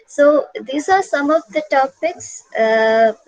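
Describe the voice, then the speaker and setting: A woman's voice: high-pitched, lively intonation, slow pace, dry recording, low-quality recording. Patient woman lecturer, dry sound. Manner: patient, repeats points, frequent "uh" fillers. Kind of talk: online class lecture with slides.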